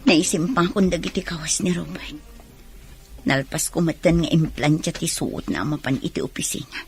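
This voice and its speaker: An actress playing an unhappy, resentful young bride in a radio drama, with an Ilocano accent. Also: female voice, deep voice, gravelly.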